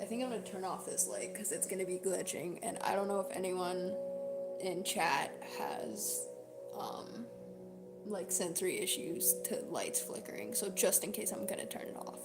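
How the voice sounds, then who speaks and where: Hoarse woman streamer, echoey room, loud music. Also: mid-range voice, moderate pace. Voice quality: hoarse, breaking up at times. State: hoarse, sore strained voice from singing. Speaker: livestream host, singer. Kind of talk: casual livestream chat with viewers. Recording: roomy echo, loud music behind. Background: loud background music.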